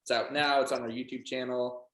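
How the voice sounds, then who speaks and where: A man's voice: mid-range voice, little pitch movement, brisk, fairly bright tone. Young man, casual, in an echoey room. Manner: informal, joking. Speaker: band member guest. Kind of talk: casual chat. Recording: roomy echo on the voice.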